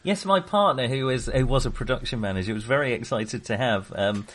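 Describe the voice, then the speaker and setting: A man chatting casually about films as a podcast co-host, in a halting, rambling manner. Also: medium pitch, fairly bright tone. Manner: halting, rambling, often chuckling. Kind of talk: casual film discussion.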